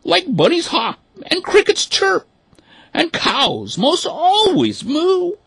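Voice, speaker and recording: A prim, scandalized mother duck, as a man puts her on in a high, piercing falsetto. She scolds slowly and with wide swoops of pitch, close and dry. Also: huge pitch swings, creaky, fairly bright tone, no echo, exaggerated voice.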